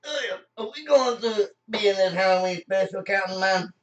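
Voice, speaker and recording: A man's voice: high voice, normal speed, pitched above the performer's own voice, close to an everyday voice. A man playing a blunt, impatient spaceship companion in an amateur comic radio play. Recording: dull, roomy, distant mic.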